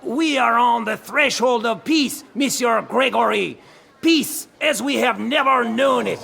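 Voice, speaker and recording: An actor playing an earnest, idealistic diplomat, speaking formally and emphatically in a radio drama. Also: male voice, extremely high pitch, unhurried, vocal fry, pushed and bright, husky, exaggerated voice. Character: earnest, idealistic male UN delegate, formally rebutting.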